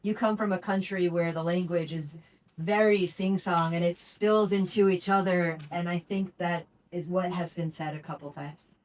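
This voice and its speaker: A woman giving friendly feedback in a public speaking group, talking quickly and fluidly. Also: on the low side, fairly even intonation, poor audio. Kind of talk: casual supportive feedback.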